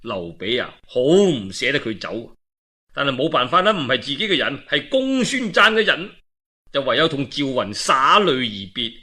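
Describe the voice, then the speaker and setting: A man's voice: medium pitch, very animated, normal speed, pushed and bright. A man with a Cantonese accent telling a historical novel in a traditional oral style, with colloquial asides and dramatic phrasing. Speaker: storyteller.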